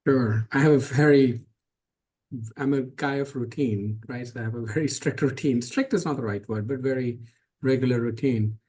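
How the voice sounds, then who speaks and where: A man's voice: medium pitch, normal speed, a little mellow, breathy edge. A middle-aged man on a webinar panel, talking earnestly in a casual way, often correcting himself and using many fillers. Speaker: startup CEO on a panel.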